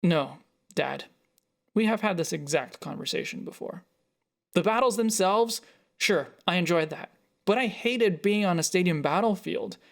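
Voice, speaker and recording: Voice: medium pitch, animated, vocal fry. A narrator voicing a defensive, frustrated adult photographer arguing with a parent in a short story. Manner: halting, then heated.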